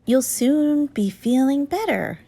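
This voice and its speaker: A woman's voice: high-pitched, animated, takes it slowly, warm tone, voice pushed up, full character voice. A woman voicing an earnest, worried young male fox cub who pleads and protects in a storybook reading. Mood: reassuring.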